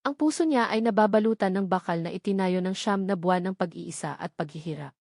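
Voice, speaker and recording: A woman's voice: medium pitch, a little fast, darkish tone, no echo, clean studio sound. A woman with a Filipino accent reading a scripted romantic drama as an audiobook narrator.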